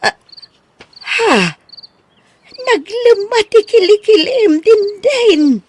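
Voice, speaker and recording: A woman playing a caring, excitable older nanny in a radio drama, with an Ilocano Filipino accent. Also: very high voice, very slow, a little hiss or hum, full character voice. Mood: alarmed.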